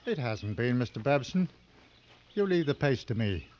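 An actor playing a seasoned, world-weary professional hunter in an old radio drama, terse and commanding. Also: male voice, mid-range voice, big pitch swings, moderate pace, lightly acted. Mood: brisk, commanding. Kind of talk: 1940s-50s radio drama acting. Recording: dull vintage radio recording, faint noise.

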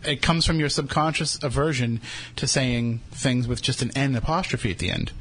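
A man's voice: mid-range voice, moderate pace, fairly bright tone. Joking Boston radio host man. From New England. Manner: joking, self-deprecating. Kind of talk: casual radio banter.

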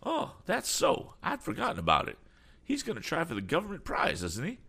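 A man voicing an excitable, fussy, eccentric older gentleman in a storybook, chattering nervously with quaint exclamations. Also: on the high side, a little fast, vocal fry, husky, pitched above the performer's own voice, full character voice. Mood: excited, eager.

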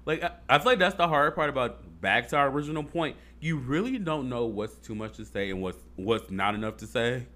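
A man's voice: mid-range voice, expressive, brisk, hardly any pauses, pushed and bright. A man in casual chat, talking earnestly and rambling, with fillers and swearing.

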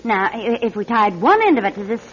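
A woman's voice: medium pitch, very animated, fairly quick, a bit bright. An actress playing a fretful, motherly lodge proprietress in an old radio mystery, earnest and dramatic. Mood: anxious. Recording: muffled, noisy old radio recording.

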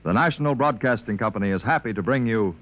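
A man's voice: lowish voice, animated, quick pace, fairly bright tone, lo-fi sound. An American man announcing in a formal 1950s radio style on an old broadcast recording. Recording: very noisy vintage radio broadcast.

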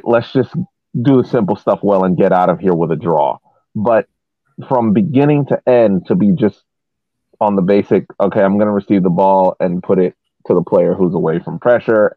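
A man talking casually as a podcast panelist analysing soccer, explaining at length in a halting way with repeated words. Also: fairly low pitch, no room sound. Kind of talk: casual match analysis discussion. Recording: thin phone-like line.

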